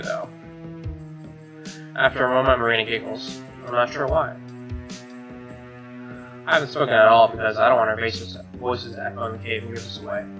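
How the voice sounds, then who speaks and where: A man reading a visual novel's narration aloud as a let's play host, wry and expressive, over faint music. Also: medium pitch. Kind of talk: reading game narration with asides. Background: game music.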